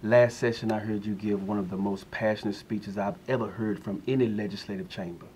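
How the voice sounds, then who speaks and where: A man hosting an interview, putting measured questions to his guest. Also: fairly low pitch, fairly even intonation, mellow, slight background noise. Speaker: interview host.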